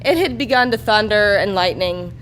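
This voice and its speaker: A woman's voice: mid-range voice, animated, moderate pace, fairly bright tone, slight background noise, low-quality recording. A woman performing a live reading of a comic adult story as narrator. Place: live show before an audience.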